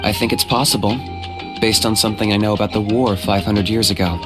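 A man's voice: fairly low pitch, steady tone, brisk, natural voice. Man as pragmatic young male hunter, terse, matter-of-fact, video game, background music. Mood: cool, practical.

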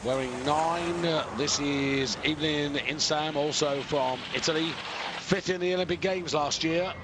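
A man giving live, measured commentary on a ski jumping competition, explaining scores and distances with pauses and fillers. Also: on the high side, noisy background. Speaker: sports commentator.

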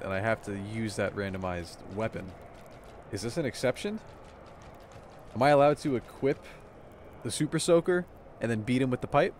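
Excitable young man streaming a game. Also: mid-range voice, slight background noise. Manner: excitable, chatty, addresses chat. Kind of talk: casual live-stream commentary. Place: small room indoors. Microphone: headset.

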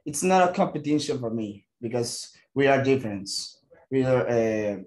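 A young man with a Mexican Spanish accent answers questions at a press conference. His English is halting, full of restarts and pauses as he reaches for the right word. His voice sits in a medium range. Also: mid-range voice, expressive, a little mellow. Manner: halting, searching for English words, many restarts. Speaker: football player.

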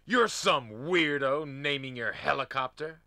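A man's voice: on the high side, a little slow, bright, forceful tone, no room sound, studio quality. A man voicing a cocky hero agent in a game cutscene, delivering smug wisecracks.

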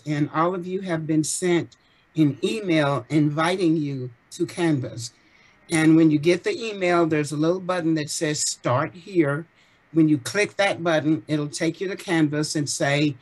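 Woman, practical step-by-step explainer, fairly low voice for a woman, video call. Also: darkish tone, low-quality recording. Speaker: course administrator. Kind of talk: walking through a syllabus on screen.

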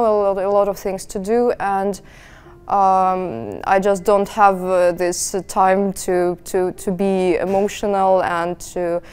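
A young woman with a Russian accent speaking English in an interview, hesitant and earnest, with many pauses and fillers. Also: mid-range voice, darkish tone, clear, resonant tone. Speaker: interviewee, student and freelance journalist. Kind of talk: sit-down interview answers. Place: indoor studio space.

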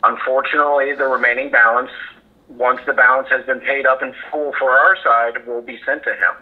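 Man, calm, formal, company line, tinny phone line. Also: medium pitch, a little fast. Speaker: storage facility staff. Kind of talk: phone call.

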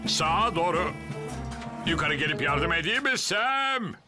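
An actor playing a self-important, easily flustered older fire chief in a children's cartoon, blustering with hesitant hums. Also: male voice, a little high, very animated, measured pace. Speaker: fire station officer. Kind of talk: animated children's cartoon dub. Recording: loud music behind.

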